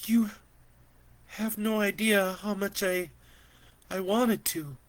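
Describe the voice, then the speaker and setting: A man's voice: high-pitched, slow pace, voice pushed up. A man voicing an emotional middle-aged aunt who pleads with her nephew, in a spoken story. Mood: hurt pleading.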